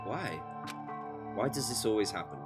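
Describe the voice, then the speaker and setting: Animated man streaming with game music. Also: lowish voice, normal speed. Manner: dramatic read-aloud mixed with incredulous wisecracks. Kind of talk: live visual novel let's play, reading dialogue aloud with joking commentary.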